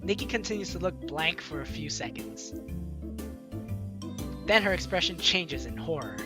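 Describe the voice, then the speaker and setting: A woman's voice: lowish voice, animated, normal speed, over loud music. A woman let's player reading a game's story aloud expressively and adding playful, casual commentary.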